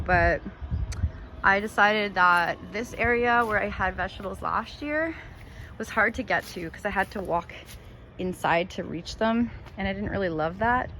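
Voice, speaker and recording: A woman's voice: mid-range voice, fairly bright tone, rough recording. Canadian lady rambling about her garden plants, outside on a phone mic, voice drifts off sometimes, background noise. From Ontario, Canada. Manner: chatty, rambling, gushes over plants. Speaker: gardening vlogger. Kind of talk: casual garden walkthrough. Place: outdoors in a home garden. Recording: outdoor noise behind voice.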